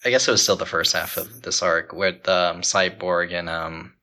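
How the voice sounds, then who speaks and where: Low, very bright-voiced man recounting scenes. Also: fairly even intonation, moderate pace. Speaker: podcast host. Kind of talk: casual chat, recounting TV scenes.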